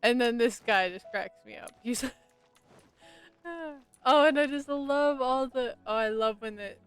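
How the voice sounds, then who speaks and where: A woman's voice: on the high side, slow, clean tone. A woman chatting casually and enthusiastically as she streams a game. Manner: gushing, enthusiastic. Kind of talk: casual livestream gaming chat. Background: game music.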